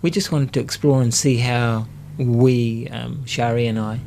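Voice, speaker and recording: A man speaking as a guest in a casual radio interview, explaining things in a halting, reflective way. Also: medium pitch, fairly even intonation, gravelly, warm tone, slight background noise. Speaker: studio guest, acupuncture practitioner. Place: radio studio. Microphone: studio microphone.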